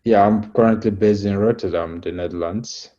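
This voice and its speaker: A man, a software engineer, introducing himself on a video call in a halting way with many fillers. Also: fairly low pitch, fairly even intonation, highs cut off. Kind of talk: casual chat on a video call.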